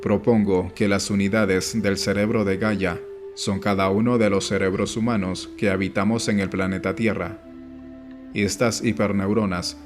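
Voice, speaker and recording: A man narrating a nonfiction audiobook in a measured, formal reading style. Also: on the low side. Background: background music.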